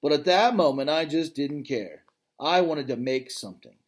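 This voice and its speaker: A man reading a children's novel aloud as its gentle, thoughtful male gorilla narrator, in a calm, reflective way. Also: on the high side, normal speed. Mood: wistful.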